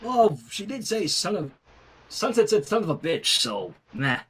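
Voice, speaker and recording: A fan fiction reader narrating a story with casual, irreverent asides in an amused, expressive way. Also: mid-range voice, a little slow, breathy edge.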